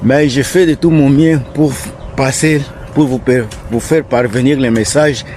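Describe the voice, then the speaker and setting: A man with an African French accent talks straight to his viewers from outdoors, his voice mid-pitched and unhurried. He is earnest and keeps repeating his points. Faint street and traffic sounds sit behind him. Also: fairly even intonation, darkish tone. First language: French not his first language. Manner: earnest, repetitive, advising. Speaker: vlogger. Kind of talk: casual vlog talking to viewers.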